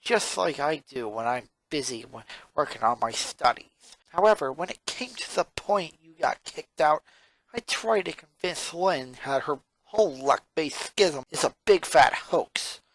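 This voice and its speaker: A performer voicing a four-year-old girl genius from a cartoon fan story, monotone and sarcastic, with pedantic, wordy phrasing. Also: mid-range voice, a little slow, dry recording, rough recording. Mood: irritated.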